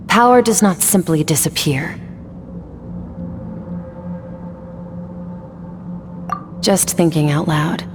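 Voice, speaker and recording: A woman acts out a cool young swordswoman mentor from a video game. Her voice sits slightly low, with an aloof, matter-of-fact delivery. Music plays softly behind her detached instruction. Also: long reverb tail, natural voice.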